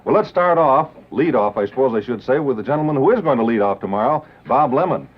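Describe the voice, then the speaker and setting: A man's voice: medium pitch, expressive, fast talker. American man doing a 50s tv sponsor spot, mid voice, muffled old audio. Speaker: commercial announcer and interviewer. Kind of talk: 1950s live television sponsor spot. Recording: dull muffled 1950s TV broadcast.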